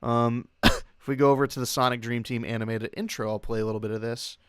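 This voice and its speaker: A young adult man hosting a casual livestream in a clear, smooth voice at a middling pitch. He rambles hesitantly and often breaks off to restart a thought. The sound is dry and close, with no room echo. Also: fairly even intonation, quick pace. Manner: rambling, hesitant, self-interrupting. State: occasional coughing from long covid. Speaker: livestream host.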